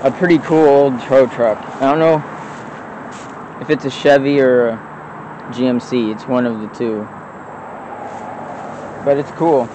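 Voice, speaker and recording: A man talks in an easy, offhand way as he walks around outdoors, rambling from one remark to the next. His voice sits at an everyday middle pitch, caught on a handheld phone with faint road traffic humming behind him. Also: fairly even intonation, a little slow, long pauses. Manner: offhand, rambling, enthusiastic about old trucks. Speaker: vlogger exploring abandoned vehicles. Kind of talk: casual walk-around narration. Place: outdoors in an overgrown lot beside a road. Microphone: handheld phone camera.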